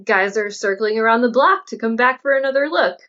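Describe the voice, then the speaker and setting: A woman's voice: on the high side, animated, brisk, fairly bright tone. A woman as a matronly cautioning policewoman, dull audio. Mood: motherly warning. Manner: motherly, admonishing. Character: matronly, cautioning older policewoman, veteran investigator. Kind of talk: audiobook character dialogue.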